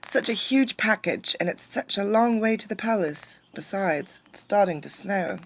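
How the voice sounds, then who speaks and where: A woman's voice: medium pitch, lively intonation, moderate pace, vocal fry, a little hiss or hum, voice pushed up. Storybook mother: ailing, weary, affectionate, weak voice, woman performer. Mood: tender concern. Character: sick, weary, tender mother of a young girl.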